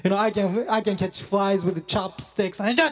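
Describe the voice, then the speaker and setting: A young man performing stand-up, self-deprecating and halting, full of fillers and restarts. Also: sits high, little pitch movement, big-room sound. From Japan. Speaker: stand-up comedian. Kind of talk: stand-up comedy set.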